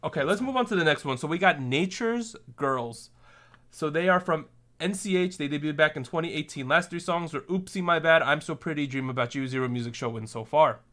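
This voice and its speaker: A man hosting a casual podcast chat, relaxed and joking as he steers the discussion. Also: a little high, brisk. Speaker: podcast host.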